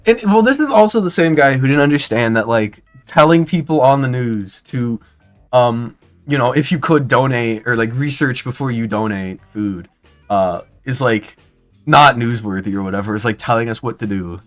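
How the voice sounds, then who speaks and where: A young man in his twenties chats on his stream with a lively, bouncing pitch, rambling and restarting his sentences often. He gets excitable in bursts, and soft music plays faintly behind him. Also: normal speed. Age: 20s. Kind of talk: casual stream commentary.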